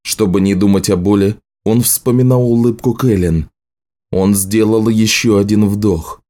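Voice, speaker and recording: A man reading a novel aloud as an audiobook narrator, in a measured, serious storytelling manner. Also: on the low side, fairly even intonation, dark tone. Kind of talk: scripted literary narration.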